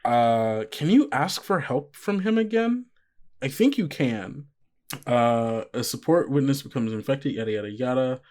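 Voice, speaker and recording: A man working as game master, explaining rules and narrating scenes in an informal, halting way. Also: mid-range voice, lively intonation, darkish tone. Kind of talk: casual actual-play narration.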